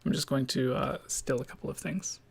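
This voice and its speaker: A man hosting a podcast over an online call, casual and a bit rushed as he sorts out the setup. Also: medium pitch, gravelly, breathy edge. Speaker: podcast host.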